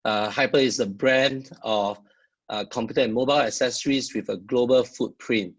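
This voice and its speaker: A man with a Singaporean accent giving a founder's talk, pausing often with "uh" fillers. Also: medium pitch, husky, dull audio. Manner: halting, frequent "uh" fillers. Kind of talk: presentation with many "uh" fillers.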